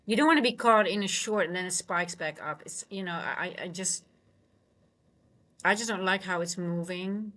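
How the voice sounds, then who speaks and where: A woman's voice: lowish voice, normal speed, fairly bright tone. Woman thinking aloud on a livestream. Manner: thinking aloud, tentative, trailing off mid-sentence. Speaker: livestream host, futures trader and coach. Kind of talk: casual live trading commentary with chat interaction.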